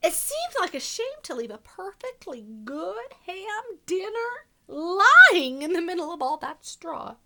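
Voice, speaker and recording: A woman voicing an aggrieved male storybook wolf pleading his innocence, with exaggerated, drawn-out, emphatic delivery. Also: extremely high pitch, sing-song, pushed and bright, pitched above the performer's own voice, heavily acted. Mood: self-excusing. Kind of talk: dramatic children's storybook read-aloud.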